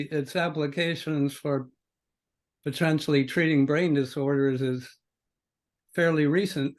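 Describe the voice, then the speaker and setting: Older man hosting, slightly higher-pitched voice. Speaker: podcast host. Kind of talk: conversational scripted-free interview introduction.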